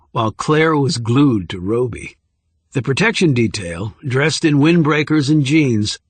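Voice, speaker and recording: Guy narrating a thriller audiobook, mid pitched voice, dry studio-like sound. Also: husky, no echo.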